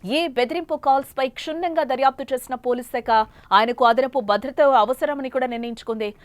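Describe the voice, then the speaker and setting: A woman news anchor with a high voice delivers breaking news. Her delivery is emphatic and repetitive, partly off the cuff. The sound is close and dry. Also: brisk, dry recording.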